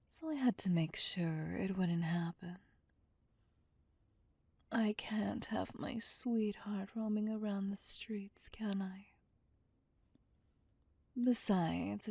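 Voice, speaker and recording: A woman's voice: medium pitch, dark tone, no echo, heavily acted. A woman playing a seductive, possessive female vampire in a whispered ASMR roleplay, with a teasing, domineering manner. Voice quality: breathy, whispery. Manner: slow, teasing, domineering, purring.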